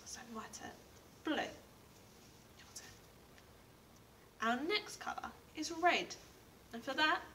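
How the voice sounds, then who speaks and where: A woman's voice: a little high, sharp tone. A young woman, a primary school teacher, giving simple step-by-step instructions and reading to small children. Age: young adult. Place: indoors in a school reading corner. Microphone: camera a few feet away. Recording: distant mic with background room noise.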